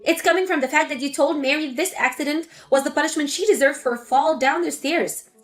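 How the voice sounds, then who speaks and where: A woman's voice: sits high, fairly quick, faint music behind, close to an everyday voice. A woman voicing an angry adult daughter confronting her mother in a scripted text-story drama. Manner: accusing, exasperated.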